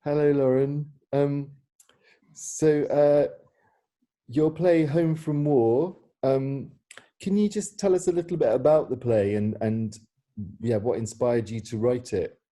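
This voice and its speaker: A woman's voice: extremely low pitch, slow, warm tone. A woman hosting an informal Q&A, asking questions politely. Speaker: host of a playwright Q&A.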